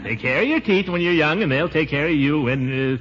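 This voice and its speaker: Pompous radio uncle, wildly swooping voice. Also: male voice, on the high side, expressive, fairly quick, a bit bright. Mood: pompous, evasive. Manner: blustering, hemming, chuckling. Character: pompous, fussy middle-aged uncle, timid about a toothache. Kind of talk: 1940s radio comedy acting. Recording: noisy, dull 1940s radio recording.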